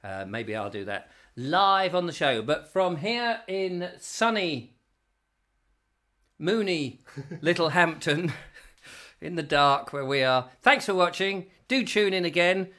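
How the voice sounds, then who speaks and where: Lively bright-voiced English host. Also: male voice, a little high, takes it slowly. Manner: jokey, chatty, reads viewer comments aloud. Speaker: comedy chat show host. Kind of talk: comic rambling live chat. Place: a home kitchen.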